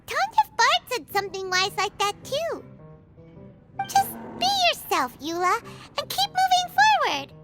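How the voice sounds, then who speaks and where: Tiny chirpy game fairy, super high kiddie squeak, bouncy intonation, chatty. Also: female voice, big pitch swings, measured pace, full character voice. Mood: bright, supportive. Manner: chatty, speaks of self in third person. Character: Paimon, tiny chirpy childlike female fairy companion. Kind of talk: animated video game acting. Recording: game music behind the voice.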